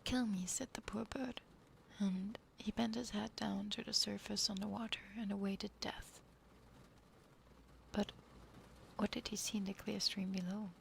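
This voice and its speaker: A young woman whispers close to the microphone, her soft voice carrying a gravelly edge. She reads slowly and gently, as an ASMR streamer talking to her viewers. A faint hiss sits under the recording. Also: medium pitch, fairly even intonation. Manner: soft whispering, chatting with chat. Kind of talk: whispered ASMR story reading.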